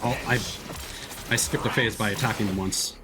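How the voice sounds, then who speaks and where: A man's voice: lowish voice, steady tone, normal speed. A man giving casual commentary while live streaming a video game. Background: game music.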